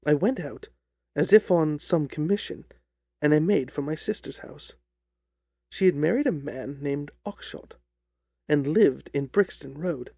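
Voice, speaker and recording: A man narrating a story as an audiobook reader, in a measured, deliberate, dramatic style. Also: on the high side, vocal fry, dark tone, no room sound.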